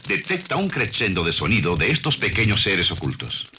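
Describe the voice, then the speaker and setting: A man's voice: on the low side, huge pitch swings, fairly quick, background noise. A man delivering scripted nature documentary narration in a didactic manner, addressing the listener as a teacher would. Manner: didactic, rhetorical questions to the listener.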